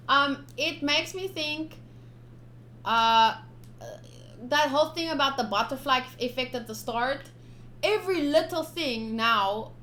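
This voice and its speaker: Young female streamer, highish voice, informal playthrough commentary, reading aloud, faint background noise. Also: sharp tone. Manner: informal, chatty.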